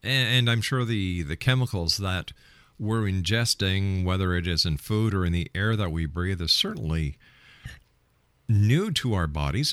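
Low male voice, lively intonation, radio host, interview and announcing. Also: dry recording.

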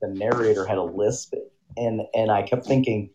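A man's voice: lowish voice, steady tone, normal speed, warm tone, highs cut off, a little hiss or hum. A man co-hosting a crude comedy podcast, rambling with frequent laughs. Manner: rambling, laughing, jumping into silly voices. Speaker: podcast co-host. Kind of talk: crude casual chat.

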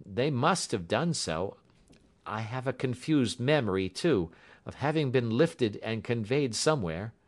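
A man's voice: mid-range voice, lively intonation, a little slow, pitched above the performer's own voice. A man voicing an earnest, shaken young engineer in an audiobook. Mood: uneasy. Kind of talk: audiobook character acting.

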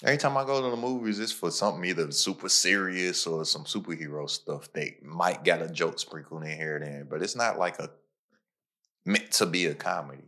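A young man with a very low, deep voice hosts a laid-back podcast conversation. He tells stories in lively, slangy bursts, and now and then he speeds up. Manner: animated, slangy storytelling with quick bursts. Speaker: podcast host. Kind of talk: casual podcast chat.

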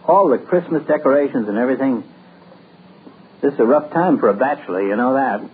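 An actor playing a genial, lovestruck bachelor town doctor in 1940s radio comedy style, jovial and dreamy. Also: male voice, medium pitch, animated, a little fast, slight background noise, natural voice. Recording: tinny lo-fi old radio broadcast.

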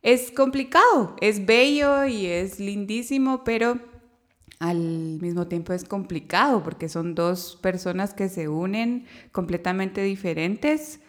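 A woman's voice: medium pitch, unhurried. Woman hosting a faith podcast. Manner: hesitant, many fillers and restarts. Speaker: podcast host.

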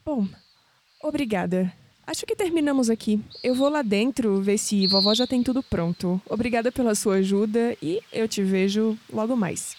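A woman's voice: fairly high pitch, normal speed, a little mellow, a little hiss or hum, natural voice. An actress playing a young, skeptical and searching Brazilian woman in an audio drama. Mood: polite.

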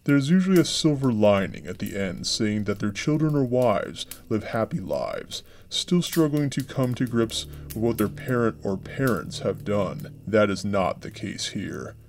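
A man with a low voice reads a true-crime script as a podcast host. His delivery is measured and somber, and he keeps a steady narrating pace. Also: a little hiss or hum. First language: English.

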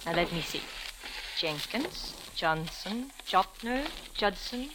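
A woman's voice: mid-range voice, animated, slow. An actress playing a timid, polite young foreign secretary in an old radio drama, hesitant and formal in her speech. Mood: wistful, polite. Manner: hesitant, formal, avoids contractions. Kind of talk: 1940s radio drama acting. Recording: faintly noisy old radio recording.

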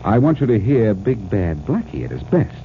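Sardonic, flirtatious private sleuth, deepish, animated delivery, tinny vintage radio drama. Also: male voice, normal speed. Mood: wry, cocky. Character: cocky, wisecracking amateur detective. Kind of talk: 1940s radio acting. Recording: tinny, hissy old radio recording.

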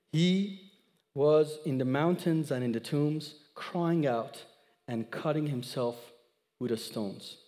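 A man in his thirties from Iran, speaking English with an Iranian accent, giving an expressive sermon that mixes scripture with his own testimony. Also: on the high side, in a large hall. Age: 30s. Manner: expressive storyteller, acts out dialogue, audience laughter. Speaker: guest speaker.

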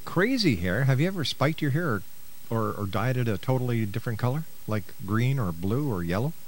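A man's voice: medium pitch, very animated, a little fast, low-quality recording. A man hosting a radio interview, speaking as a presenter to his guest and listeners. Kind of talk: radio interview, partly reading a book synopsis.

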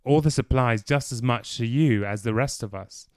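A man's voice: mid-range voice, moderate pace, darkish tone, dry recording, studio quality, natural voice. A man playing a half-hearted, defensive man.

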